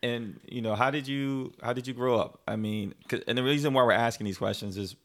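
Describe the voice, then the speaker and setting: An American man from the New Jersey area, hosting a casual relationship podcast with his wife and laughing often. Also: mid-range voice. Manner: animated, laughs often, quick asides to the audience. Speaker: podcast host.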